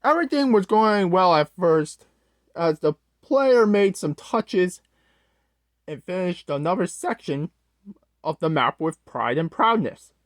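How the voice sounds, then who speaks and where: An awkward, easily flustered storyteller character acted out by a performer reads a scary tale from a script in a halting way. The pitch moves around a lot, and the sound is close and dry. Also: animated, dry recording, close to an everyday voice. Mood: narrating. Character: Strong-Arm, awkward, scatterbrained storyteller host character. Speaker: storyteller host. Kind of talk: reading a scripted creepypasta story aloud.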